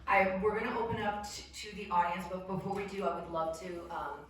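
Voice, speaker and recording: A woman's voice: medium pitch, brisk, fairly bright tone. A woman moderating a festival panel, asking long, searching questions with many restarts. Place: panel room at a film festival. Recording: echoey room, voice from far off, faint noise.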